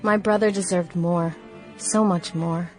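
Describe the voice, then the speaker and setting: An actress playing a grieving young woman in a radio play, reading her diary in a solemn, sorrowful, measured way. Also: on the low side, steady tone, natural voice. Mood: anguished, bitter. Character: grieving young woman writing diary after brother's death. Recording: music bed under the voice.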